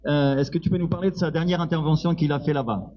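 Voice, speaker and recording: A man's voice: fairly high pitch, fairly even intonation, fast. A man acting as host and organiser, introducing a guest and putting questions. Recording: muffled sound with faint background noise.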